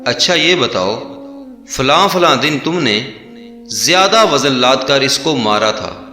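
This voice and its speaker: A man's voice: mid-range voice, lively intonation, slow pace, bright, forceful tone. A man voicing stern angels of the grave, questioning in a probing, accusatory way within a moral story. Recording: echoey voice over background music.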